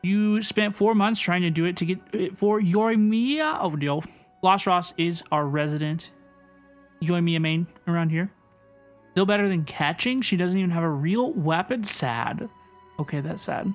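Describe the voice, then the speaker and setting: A woman livestreaming a game, chatting casually with viewers in an animated, repetitive way as she reacts and reads chat. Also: on the low side, a little slow. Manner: animated, repeats questions, reads chat aloud. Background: game music.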